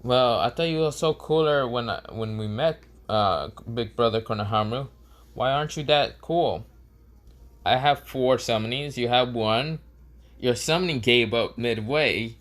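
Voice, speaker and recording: A man's voice: medium pitch, measured pace, a bit bright, close to an everyday voice. A man voicing a cheeky young boy ninja in a fan story, teasing and pleading. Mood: taunting.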